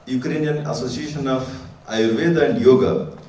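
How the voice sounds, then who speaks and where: A man's voice: medium pitch, fairly even intonation, moderate pace, warm tone. Man doing a formal speech at a school event, restarts a lot, sounds far off in a big echoey hall. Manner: earnest and formal, with frequent restarts and "uh"s. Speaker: speaker at a school ceremony.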